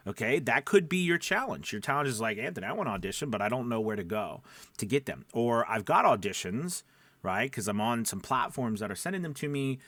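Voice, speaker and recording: A man's voice: mid-range voice, expressive, fairly quick, a bit bright, dry recording. A man giving casual, instructional advice as a voice-over coach, conversational and often checking in with the listener. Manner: conversational, frequent check-in tags like right and okay. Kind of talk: casual instructional chat to camera.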